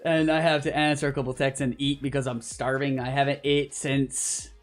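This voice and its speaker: A man's voice: mid-range voice, level tone, normal speed. Rambling guy streaming a game. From Canada. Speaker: livestream host. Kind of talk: casual gaming stream chat. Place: at home in an apartment, live voice chat. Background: background music.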